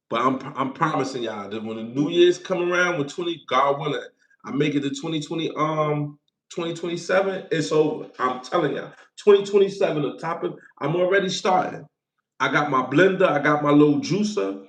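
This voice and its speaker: A man's voice: on the high side, fairly bright tone, echoey room. A man in his forties hosting a casual livestream, loose and playful, repeating himself and thanking viewers for gifts. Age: 40s. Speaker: livestream host.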